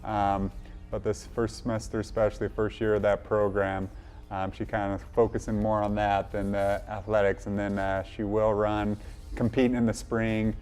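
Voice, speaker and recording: Low, clear, even-toned male coach. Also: moderate pace, faint music behind. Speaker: college cross country coach. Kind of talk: casual interview talk.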